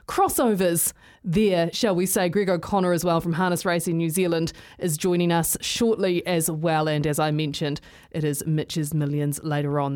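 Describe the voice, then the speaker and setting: A woman's voice: low voice, a little mellow. Upbeat woman sports radio host. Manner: brisk, upbeat, reading promos. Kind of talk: radio sports show presenting.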